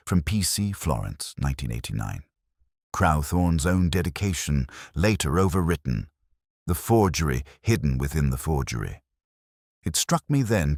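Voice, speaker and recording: A man voicing a melancholy, brooding English police detective, narrating a scripted mystery in a measured, solemn, literary manner. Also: a bass voice, dry recording, clean studio sound, full character voice. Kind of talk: scripted first-person detective story narration.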